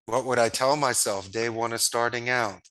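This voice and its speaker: A man's voice: medium pitch, steady tone, normal speed, pushed and bright, poor audio. A man, a guest telling his business story with enthusiasm and folksy sayings. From Northeast Philadelphia, USA. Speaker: guest, call center founder. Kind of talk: casual interview talk.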